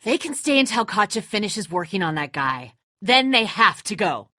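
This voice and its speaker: A woman's voice: mid-range voice, very animated, normal speed, sharp tone, no room sound, studio quality. An actress playing a tense, defensive woman who leads a group of survivors in a dramatic video game, blunt, confrontational and exasperated. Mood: defensive, bitter.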